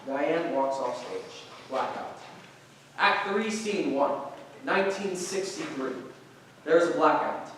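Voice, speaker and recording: A man's voice: a little high, expressive, slow, a bit bright. A man reading stage directions as scripted narration at a staged play reading. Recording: very echoey room, distant mic, faint noise.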